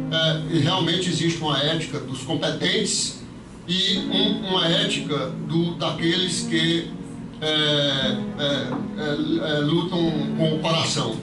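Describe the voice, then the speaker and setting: An older man from Brazil giving a philosophical lecture, seated at a table in a hall, hesitant with many restarts and fillers. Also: fairly high pitch. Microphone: far camera. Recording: roomy hall taken from far off.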